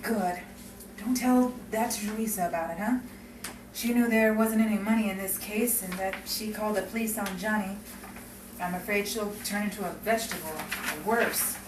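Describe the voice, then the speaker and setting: A woman's voice: mid-range voice, moderate pace, close to an everyday voice. A woman playing a sardonic, world-weary gangster's girlfriend in a 1950s crime melodrama. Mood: weary, resigned. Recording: echoey room, distant mic, clear noise.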